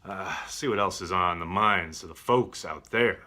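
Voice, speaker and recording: A man giving casual sports commentary as a show host, measured and conciliatory in how he responds. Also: sits low, pushed and bright.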